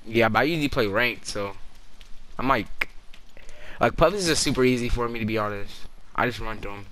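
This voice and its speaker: Excitable young man gaming, bright voice. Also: medium pitch, a little fast. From Jamaica. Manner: loud and excitable during fights, mumbly between. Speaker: gamer. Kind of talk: casual gaming chat.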